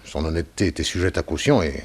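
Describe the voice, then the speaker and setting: A man's voice: rumbling low, quick pace, breathy edge, full character voice. An actor playing a worried, wealthy middle-aged businessman in a 1960s radio serial, hesitant and stammering. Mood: puzzled, uneasy.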